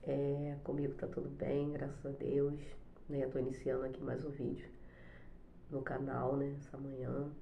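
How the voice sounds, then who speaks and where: A middle-aged woman with a cold, hoarse, chatting softly and hesitantly on her home vlog with many fillers. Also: a bass voice, monotone, moderate pace, echoey room, muffled recording, slight background noise. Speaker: channel host, special education teacher. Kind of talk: casual devotional vlog chat. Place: home, morning coffee.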